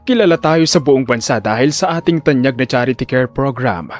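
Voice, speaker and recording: An older hospital director, played by a male narrator, speaks with smug, self-important benevolence in an audio drama. His pitch is medium and his manner avuncular, with faint music underneath. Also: animated, a little fast, close to an everyday voice. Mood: pompous, persuasive. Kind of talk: audio drama villain dialogue.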